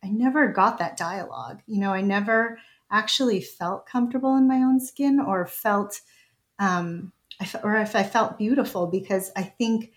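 A woman's voice: medium pitch, normal speed. A woman in her thirties, the guest host of a podcast, talking in an affirming, conversational way with frequent fillers. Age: 30s. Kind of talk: casual conversation.

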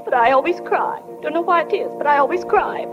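High, gushing small-town matron. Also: female voice, lively intonation, a little fast, in a large hall, lo-fi sound. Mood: gushing, teary. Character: sentimental, chattering small-town matron weeping at weddings. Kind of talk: 1940s radio drama acting. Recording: 1940 radio broadcast with music behind.

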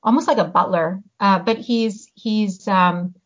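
A woman, born in Hungary, chatting as an interview guest and pausing to search for her words. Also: medium pitch. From born in Hungary, raised in the US. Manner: reflective, pauses to search for words. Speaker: guest, novelist and teacher. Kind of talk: casual interview chat. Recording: slightly muffled audio.

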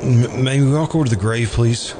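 A man playing a polite, grieving confessed murderer in a hushed, pleading manner, in the style of old-time radio suspense. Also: medium pitch, a little fast, noisy background, natural voice. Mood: subdued, grateful.

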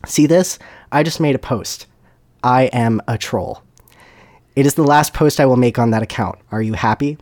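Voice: mid-range voice, normal speed, a little mellow, close to an everyday voice. A reader voicing an exasperated, defensive young male villain who protests in a whiny, sarcastic way, in a comedic fan fiction reading. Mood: whiny, fed up.

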